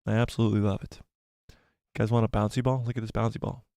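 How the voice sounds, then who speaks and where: A young man hosting a live stream, rambling excitedly, laughing often and reading viewer comments, slightly losing his voice. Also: lowish voice, fast talker, gravelly, dry recording, studio quality. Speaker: YouTube channel host.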